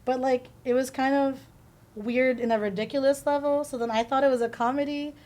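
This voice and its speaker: A woman's voice: high voice, steady tone, brisk, dark tone. A woman from the Philippines chatting informally as she answers questions as a guest on a casual podcast.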